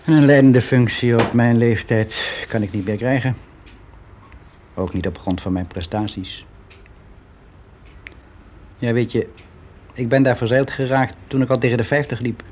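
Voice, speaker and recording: An actor playing a weary, resigned office clerk around sixty, confiding in a halting, reflective way in a naturalistic radio play. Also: male voice, medium pitch, close to an everyday voice. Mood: resigned, regretful. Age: 60s. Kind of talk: naturalistic radio drama monologue. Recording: dull, noisy radio play recording.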